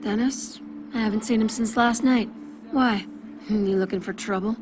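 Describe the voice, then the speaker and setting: A woman's voice: mid-range voice, steady tone, moderate pace, music in the background, close to an everyday voice. A woman playing a blunt, sardonic, worry-worn working mother in a video game, folksy and plain-spoken. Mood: wry, blunt. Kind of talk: video game character dialogue.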